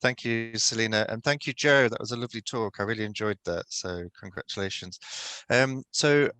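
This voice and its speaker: Low, bright-toned British man lecturing. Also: brisk, no echo. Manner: explanatory, many ums and restarts. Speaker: guest speaker, pharmacognosist. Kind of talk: webinar presentation. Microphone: video call.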